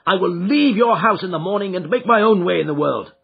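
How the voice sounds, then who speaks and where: A man voicing a proud, defiant young man accused of theft, speaking in heated tones with his own husky voice. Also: a little high, sing-song, moderate pace, full character voice. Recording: dull lo-fi recording.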